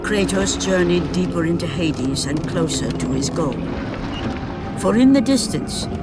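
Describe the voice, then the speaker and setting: A woman performing as a solemn storyteller, narrating a Greek myth for a video game in a deliberate, dramatic way. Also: on the low side, lively intonation, over loud music. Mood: grave.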